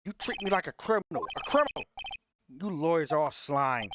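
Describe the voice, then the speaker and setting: A man acting a shrill, panicky courtroom witness. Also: lively intonation, fairly quick, background noise, lightly acted. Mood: bitter, angry. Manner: stammering, ranting. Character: panicky, angry male murder witness, shrill and sputtering.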